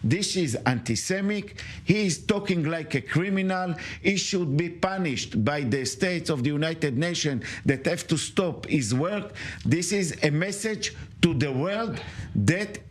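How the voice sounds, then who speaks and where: A man's voice: a little high, animated. Emphatic man with an Israeli accent. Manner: emphatic, halting non-native English. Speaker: studio commentator. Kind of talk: news panel commentary.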